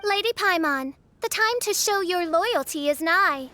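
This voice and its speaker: Haughty young game princess, high-pitched, grandiose, archaic, declaims like royalty. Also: female voice, animated, pushed and bright, full character voice. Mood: imperious. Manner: grandiose, archaic, slow proclamations. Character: haughty theatrical young woman posing as gothic princess. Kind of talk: video game voice acting.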